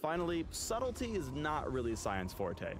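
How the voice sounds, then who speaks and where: A man's voice: fairly high pitch, wildly swooping pitch, moderate pace, over music. A man narrating a scripted game guide in a polished, instructive way.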